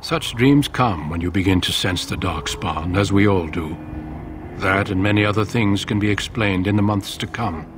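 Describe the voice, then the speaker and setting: An actor playing a grave, resolute middle-aged warrior commander in a video game, speaking in a formal, measured way. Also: male voice, low-pitched, background noise, natural voice. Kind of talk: video game dramatic acting. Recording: game audio with music behind. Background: music.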